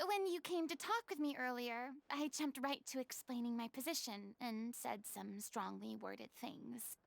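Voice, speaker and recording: A woman's voice: a little high, a little slow, creaky, no echo. A woman voicing a proud but flustered young theatrical diva in a video game, prim, dramatic and prone to stammering. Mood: sheepish. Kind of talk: English video game voice acting.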